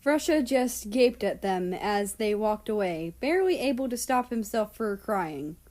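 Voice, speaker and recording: Female story narrator: very unhurried pace, mid-pitched voice, expressive scripted reading. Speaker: audiobook narrator.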